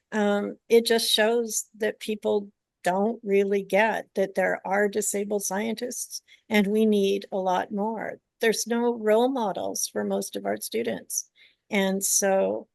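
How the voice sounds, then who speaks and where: A woman's voice: medium pitch, gravelly, darkish tone, dry recording. An older woman from Canada, a genetics teacher on a panel, speaking earnestly and emphatically about advocacy. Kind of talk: panel discussion remarks.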